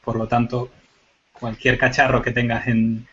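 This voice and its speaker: Man, Canarian-Cuban accent, mid-pitched, chatty and joking, muffled online call audio. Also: measured pace. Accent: Canarian Spanish mixed with Cuban. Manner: relaxed, chatty, joking asides. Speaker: guest panelist, forensic IT. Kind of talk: casual explaining on a video call panel. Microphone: video call.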